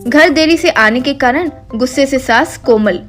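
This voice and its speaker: A woman's voice: high-pitched, a little slow, fairly bright tone. A woman narrator reading a scripted comic story. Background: faint music.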